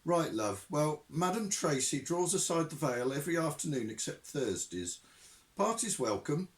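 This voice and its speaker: A man's voice: fairly high pitch, very animated, normal speed, roomy sound, voice pushed up. Man doing a breezy homely fortune teller woman. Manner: breezy, homely, calls people love. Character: chatty middle-aged woman fortune teller.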